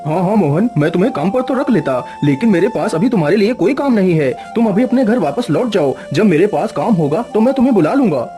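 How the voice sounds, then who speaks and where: A man's voice: high voice, big pitch swings, fast talker, few pauses, over music. A man voicing a crafty, stone-hearted cartoon moneylender with a condescending, calculating manner. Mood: sly, calculating.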